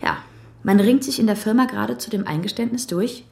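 An actress playing a wry, determined female police investigator in a radio crime drama, with an expressive way of talking. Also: mid-range voice, fairly quick, gravelly, lightly acted. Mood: explaining, pointed.

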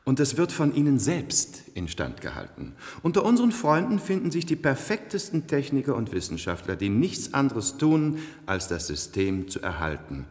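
Suave, condescending dystopian ruler, swooping intonation. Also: male voice, mid-range voice, wildly swooping pitch, darkish tone. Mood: condescending, self-assured. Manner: suave, condescending, measured and theatrical. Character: complacent, cultured male ruler of a dystopian future elite. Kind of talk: 1970s German radio play acting. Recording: echoey hall-like studio radio drama.

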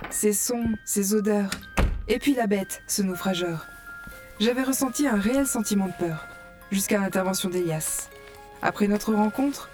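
A woman's voice: a little high, lightly acted. A woman playing a curious young gamer who narrates her virtual-world adventure in a composed way for an audio drama.

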